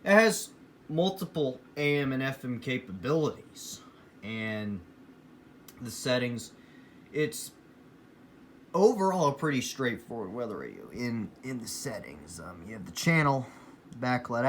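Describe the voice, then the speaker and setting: A man's voice: mid-range voice, big pitch swings, unhurried, a bit bright. American man, casual hobbyist, noisy recording. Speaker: hobbyist collector presenting his weather radios. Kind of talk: casual product show-and-tell. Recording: noisy room, clear background noise.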